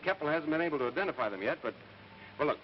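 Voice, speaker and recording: An actor playing a thoughtful, curious scientist in a 1950s radio drama, reasoning briskly aloud. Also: male voice, a little high, animated, noisy background, close to an everyday voice. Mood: intrigued. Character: scientist named Carl, thoughtful, calmly curious man. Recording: muffled old radio broadcast with music.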